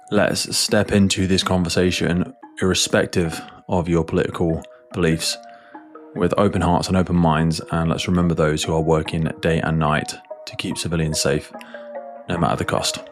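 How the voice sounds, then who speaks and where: A man with a very low-pitched voice hosts a podcast. His delivery is earnest and measured, whether he is presenting a prepared piece or putting questions to a guest. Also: flat delivery, soft background music. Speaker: podcast host. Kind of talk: scripted podcast introduction and interview.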